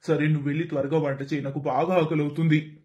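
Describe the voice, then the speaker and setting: Casting: male performer as humble, affectionate young father, slightly raised pitch, animated moral tale. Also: fast, close to an everyday voice. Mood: fond. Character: poor, kind, hardworking husband and father. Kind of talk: animated moral story voice acting.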